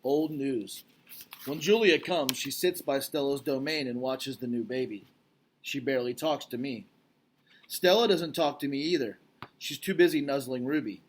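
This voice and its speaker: A man's voice: mid-range voice, moderate pace, slight background noise. A man reading a children's storybook aloud as narrator. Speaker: read-aloud reader.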